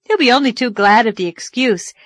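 A woman's voice: a little high, expressive, brisk, fairly bright tone, dry recording, voice pushed up. A woman voicing a practical, optimistic young woman schoolteacher in a storybook reading. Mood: brisk, confident.

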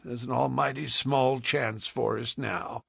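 A man voicing a weary, hardened adventurer who speaks gruffly in plain, rustic frontier speech, as in a storybook. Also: steady tone, measured pace, fairly bright tone, no room sound, studio quality, exaggerated voice. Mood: resigned. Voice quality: deep, harsh voice.

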